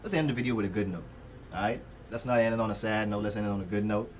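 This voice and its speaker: A Caribbean man with a fairly low voice talks through a music reaction in loose, slangy, meandering sentences. A faint room hum sits behind him. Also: rapid-fire, poor audio. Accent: Caribbean English. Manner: laid-back slangy rambling, repeats himself. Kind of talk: casual reaction commentary.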